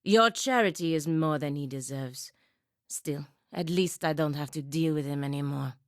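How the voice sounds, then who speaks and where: A woman's voice: low-pitched, moderate pace, dry recording. An actress playing a stern, dutiful and proud paladin woman in a video game, with a dry, sardonic and commanding manner. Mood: grim, resigned. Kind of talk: video game character dialogue.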